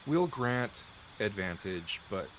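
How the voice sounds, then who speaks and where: A man's voice: lowish voice, very animated, slow, a bit bright, a little hiss or hum. Coughing man with a dry throat. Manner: chatty, rambling, restarts and self-corrections, thinks aloud. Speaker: gameplay streamer. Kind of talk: explaining game mechanics while playing. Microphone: close desk mic.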